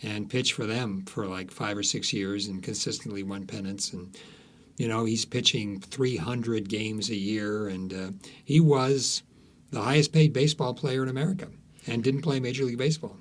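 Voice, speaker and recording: A man speaking as an author guest on a podcast, telling stories conversationally in a halting, emphatic way. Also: on the low side, steady tone. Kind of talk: conversational storytelling in an interview.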